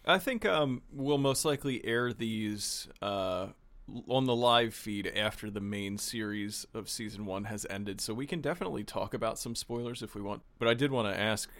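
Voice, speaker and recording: A man's voice: fairly low pitch, fairly bright tone. A man from the show's team putting easygoing questions to the cast. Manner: easygoing, a bit halting. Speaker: producer and interviewer. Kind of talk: casual interview questions.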